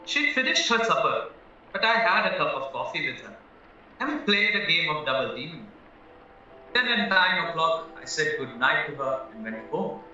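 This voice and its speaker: A man's voice: a little high, big pitch swings, normal speed, bright, forceful tone, a little hiss or hum, heavily acted. A man acting in an audio play as a naive, anxious young husband accused of murder, earnest and rambling as he protests. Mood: earnest, chatty. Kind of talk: stage-style audio drama acting. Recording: very echoey, muffled, distant, music underneath.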